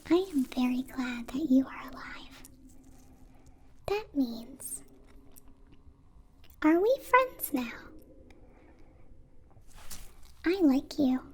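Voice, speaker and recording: A woman playing a gentle, naive alien friend in an ASMR roleplay, whispering breathily with careful, stilted formal phrasing. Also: sits high. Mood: earnest, friendly.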